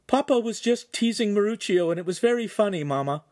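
A man's voice: sits high, animated, brisk, darkish tone, no room sound, clean studio sound, pitched above the performer's own voice. A man voicing a polite, cheerful young girl of the household in a storybook reading.

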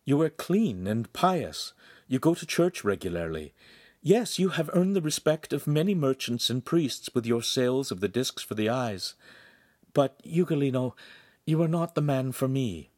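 A man voicing a kind, composed young woman in a story, speaking gently and with measured words. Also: mid-range voice, animated, no room sound, clean studio sound, voice pushed up, lightly acted. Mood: kind, firm. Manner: gentle, measured, lightly scolding.

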